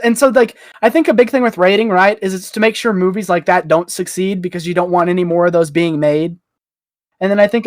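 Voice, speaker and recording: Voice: mid-range voice, dry recording, studio quality. A person chatting casually on a group podcast, telling things in a slangy, joking way. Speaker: podcast panelist.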